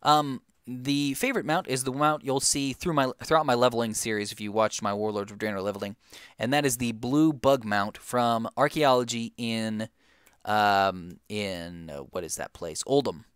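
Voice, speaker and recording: A man's voice: mid-range voice, fairly even intonation, moderate pace, a bit bright, clear, resonant tone, no echo, clean studio sound. A young man answering viewer questions in a casual, rambling way, with lots of fillers and restarts. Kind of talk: casual Q&A chat.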